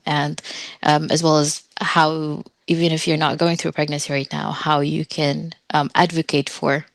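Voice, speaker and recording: A woman in her thirties speaks in a low voice with a gravelly edge. She talks as a podcast guest, halting and full of ums and uhs. The sound is dry and close. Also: dry recording. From Sudan, raised in Oman. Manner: hesitant, many ums and uhs. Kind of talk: casual interview answers.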